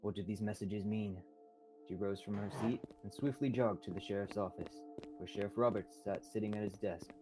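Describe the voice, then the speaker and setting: A man's voice: lowish voice, normal speed, over music, poor audio. A young man reading scripted narration for a radio-style mystery drama. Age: young adult.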